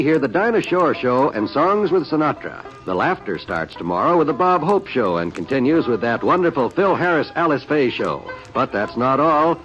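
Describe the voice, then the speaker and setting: Old-time network announcer, man, lively emphatic voice, muffled transcription over music. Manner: booming, emphatic announcer cadence. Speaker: radio announcer. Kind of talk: 1950s network radio announcing.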